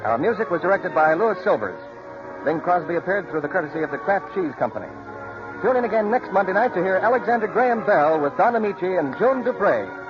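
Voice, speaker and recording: Male American 1940s radio announcer, animated delivery, dull vintage broadcast sound. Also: high voice, brisk, over music.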